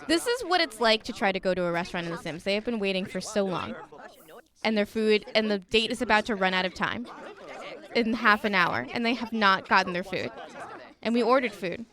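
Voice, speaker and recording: A woman's voice: mid-range voice, a little fast, fairly bright tone. A young woman co-hosting a game stream, giving wry, exasperated commentary in casual chat. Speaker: co-host playing the game. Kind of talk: casual chat while playing. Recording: crowd noise behind.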